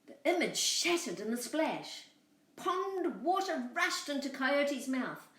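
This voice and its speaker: A woman telling a storybook tale to children, expressive and speaking directly to her young listeners. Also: high voice, slow. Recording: roomy echo, far from mic.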